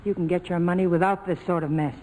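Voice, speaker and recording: A woman's voice: lowish voice, fairly even intonation, quick pace, warm tone, close to an everyday voice, poor audio. An actress playing a scheming, world-weary young woman in 1940s radio drama style, brittle and dryly sarcastic. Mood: bargaining.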